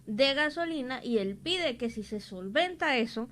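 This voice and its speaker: A woman's voice: sits high, animated, unhurried, a bit bright. A woman presenting and commenting on the news as a radio host.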